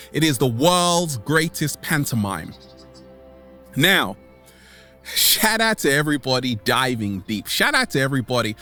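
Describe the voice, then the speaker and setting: A man hosting a vlog as a comedic monologue to camera, theatrical, with dramatic pauses and repeated catchphrases. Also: medium pitch, sharp tone. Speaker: vlog host.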